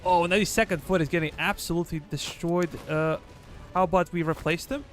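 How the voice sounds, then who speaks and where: Man, Serbian accent, slightly high voice, live game commentary, casual orders to troops, faint music behind. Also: steady tone, a little fast. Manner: casual, talking to chat while giving orders to troops. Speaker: game streamer.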